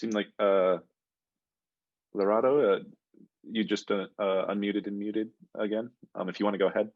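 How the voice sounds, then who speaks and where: Casting: deep-voiced male instructor, hesitant and friendly, over a dull, dry call line. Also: steady tone, no echo. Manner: friendly, halting with many ums and restarts. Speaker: coding instructor. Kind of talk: online class, calling on students. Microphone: video call. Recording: muffled video call audio.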